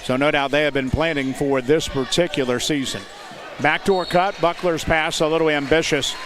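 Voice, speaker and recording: An American man doing live play-by-play commentary of high school basketball in clipped, descriptive phrases. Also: steady tone, normal speed. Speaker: play-by-play announcer. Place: indoor high school gymnasium. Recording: noisy gym with loud crowd. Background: crowd noise and bouncing basketballs.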